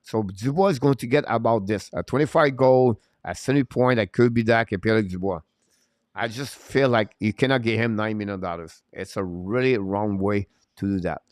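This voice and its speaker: A man's voice: fairly low pitch, moderate pace, darkish tone, no echo, clean studio sound. A man hosting a live hockey stream, giving unscripted, emphatic opinions and answering chat. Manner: opinionated, emphatic, repeats points.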